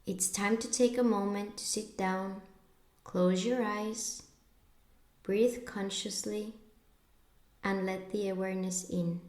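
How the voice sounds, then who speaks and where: A woman narrating a guided meditation in a measured, instructive way, pausing between short phrases. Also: mid-range voice, fairly even intonation, a little mellow, echoey room.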